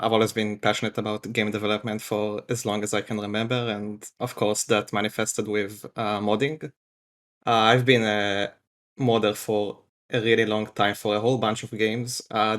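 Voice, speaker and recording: A man, a game developer guest on a podcast, talking in a relaxed way with many pauses. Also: lowish voice. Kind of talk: casual podcast interview.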